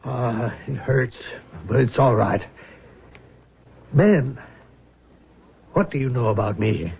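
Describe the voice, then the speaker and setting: An actor playing a weary former gambling front man on the run, confessing in a deliberate, halting way in a radio drama. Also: medium pitch, vocal fry, breathy edge, heavily acted. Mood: heavy, confiding. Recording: noisy, tinny old radio broadcast.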